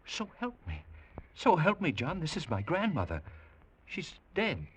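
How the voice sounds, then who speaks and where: Excitable spaceman in old radio, husky and forceful, super animated, stammers when amazed. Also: on the high side, measured pace, full character voice. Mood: astonished. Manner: eager, stammering when astonished. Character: excitable grown grandson, awestruck spaceship crewman. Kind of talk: vintage American radio drama acting. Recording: old radio recording, faint music.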